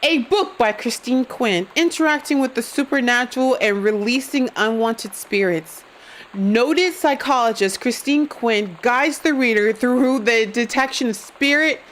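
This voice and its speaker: A woman streaming a game live, expressive and reacting out loud, with some swearing. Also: on the high side, slight background noise, low-quality recording. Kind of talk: live gameplay commentary.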